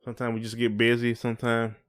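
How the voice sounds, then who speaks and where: A man's voice: mid-range voice, monotone, quick pace, clear, resonant tone. A man co-hosting a casual podcast, talking in a folksy, rambling way and repeating his phrases. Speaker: podcast co-host. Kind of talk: casual conversational podcast chat.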